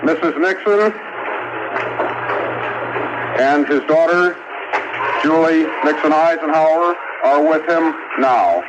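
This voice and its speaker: A man giving a formal medical statement as a spokesman, in careful, halting phrases. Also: on the high side, over loud music. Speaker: hospital spokesman. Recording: muffled, noisy archival press feed.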